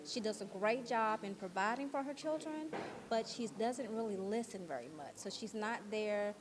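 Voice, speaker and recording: A woman's voice: a little high, expressive, moderate pace, noisy background. A woman speaking earnestly and emphatically as an interviewed actress. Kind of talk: interview talk. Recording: crowd chatter behind the voice.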